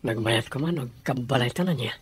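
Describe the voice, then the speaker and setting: A man's voice: medium pitch, fairly quick, husky. A man playing an easygoing young office worker and sweet-talking fiancé in a radio comedy-drama. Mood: fond.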